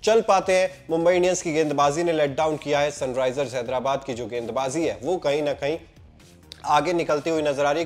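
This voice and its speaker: A young man with a Hindi accent presenting a cricket pitch report in a brisk, emphatic, scripted way, filmed head and shoulders in an indoor room. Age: young adult.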